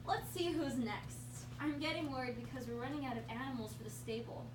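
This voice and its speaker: A kind Christmas angel with a high, clear voice, as a woman plays her. She talks in an unhurried storytelling way. She sits far from the mic in an echoey room, with background hiss. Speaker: puppeteer voicing a Christmas angel host. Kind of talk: amateur puppet show.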